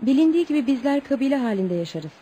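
A woman's voice: sits high, sing-song, fast talker, dark tone. An actress in a Turkish radio play voicing a young Eskimo girl, formal and polite, proud and eager in a naive way. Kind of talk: Turkish radio theatre acting. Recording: noisy radio play recording.

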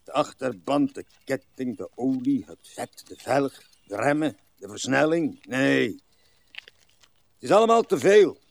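An elderly gentleman in a radio drama, in a medium-pitched voice. He is doleful and resigned, grumbling haltingly yet with old-fashioned courtesy. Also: expressive, creaky, no room sound. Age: older.

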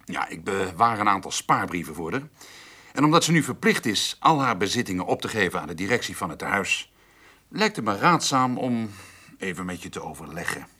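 A man's voice: low-pitched, very animated, fairly quick, bright, forceful tone, slightly rough, heavily acted. An actor playing a shifty, evasive male notary in a radio play, hesitant and insinuating as he guards his reputation. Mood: discreet, probing. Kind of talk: radio drama dialogue.

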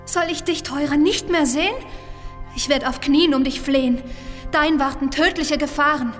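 An actress playing a tender, anguished young princess in love, pleading and lamenting in theatrical verse. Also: female voice, high voice, moderate pace, close to an everyday voice. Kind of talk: theatrical verse in opera radio play. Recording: long hall reverb, faint music behind.

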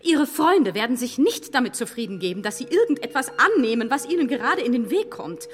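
A woman's voice: on the high side, sing-song, a little fast, big-room sound. An actress in a German radio play voicing an overbearing married society lady who is effusive, condescending and insistent. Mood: patronising concern.

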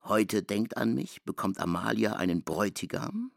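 A man narrating a literary German radio drama in a gravelly voice, voicing the dialogue as he reads. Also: lowish voice, very animated, normal speed, dry recording, clean studio sound. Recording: prominent music under the voice. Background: music.

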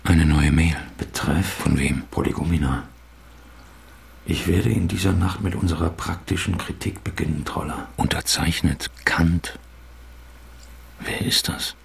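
A man's voice: fairly even intonation, a little slow, slight background noise, full character voice. An actor playing a troubled male writer who mutters his terse, brooding thoughts to himself in a radio drama. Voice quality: low, gravelly, husky. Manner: muttering, terse, self-questioning. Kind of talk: radio drama inner monologue.

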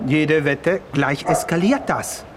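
A man's voice: on the high side, slow pace, very noisy. An actor playing an edgy, provocative male investigator, insistent and needling, in a radio play. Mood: cool.

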